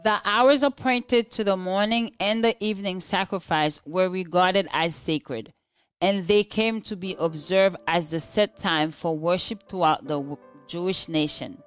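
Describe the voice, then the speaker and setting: A woman with a slightly low voice reads a devotional text slowly. She sounds solemn and measured, with soft music faintly behind her. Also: clear, resonant tone, no room sound. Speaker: devotional reader. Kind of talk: scripted devotional reading.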